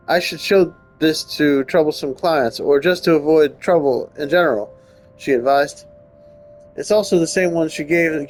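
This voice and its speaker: A man with a fairly high voice reads story narration aloud for a visual novel playthrough. He slips into casual, wry, nitpicking asides, with music playing behind him. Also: rough recording. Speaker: let's player reading a visual novel aloud. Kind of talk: reading narration aloud with casual riffing commentary.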